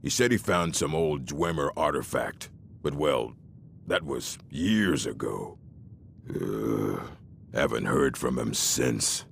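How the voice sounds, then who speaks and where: An actor playing a gruff, curmudgeonly old orc librarian in a video game, deep and gravelly, with a grumbling, condescending, scholarly manner. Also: male voice, animated, slow, slight background noise, exaggerated voice. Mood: wistful, grumbling. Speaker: game character.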